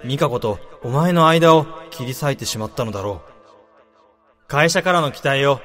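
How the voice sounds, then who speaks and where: A man's voice: fairly high pitch, a bit bright, long reverb tail, lightly acted. An actor playing a young male section chief with a guilty conscience in a radio drama. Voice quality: breezy at first, then anguished inner monologue.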